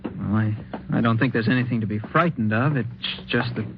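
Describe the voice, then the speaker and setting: Actor as earnest young husband, hissy old radio. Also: male voice, medium pitch, fairly quick, close to an everyday voice. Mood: concerned. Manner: plainspoken, hesitant, earnest. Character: practical, protective, concerned young husband. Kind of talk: 1950s radio drama acting. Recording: muffled, noisy old radio recording.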